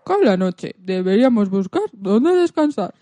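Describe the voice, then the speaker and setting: A woman's voice: medium pitch, big pitch swings, a little slow, warm tone, no room sound, faint music behind. A woman acting a weary but hopeful donkey in a radio fairy tale, with a theatrical, lamenting delivery. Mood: declamatory.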